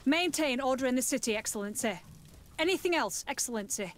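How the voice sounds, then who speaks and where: A woman's voice: sits high, animated, brisk. A woman playing a dutiful, deferential guard soldier in a video game, clipped and respectful. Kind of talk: video game dialogue acting.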